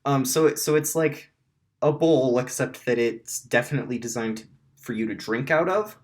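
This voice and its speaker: A raspy-voiced man running a tabletop game, rambling through narration with many fillers. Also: mid-range voice, normal speed. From Wyoming. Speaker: game master.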